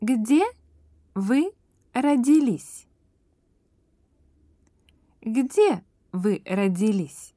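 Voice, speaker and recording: A woman reading short phrases slowly and clearly for a language lesson, saying each word separately and then the whole phrase again. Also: on the high side, animated, dark tone, no echo, studio quality. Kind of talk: slow, careful phrase reading with repetition.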